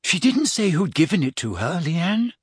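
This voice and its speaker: A man voicing a calm teenage schoolgirl asking gentle questions. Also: high-pitched, normal speed, breathy edge, no room sound, clean studio sound, pitched above the performer's own voice, heavily acted.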